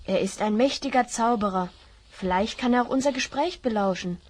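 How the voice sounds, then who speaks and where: A teenage boy adventurer acted by a woman with a raspy, somewhat high voice. He is earnest and level-headed, speaking in the deliberate style of a fantasy audio drama. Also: darkish tone, natural voice. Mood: wary, earnest. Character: fifteen-year-old boy hero, level-headed adventurer.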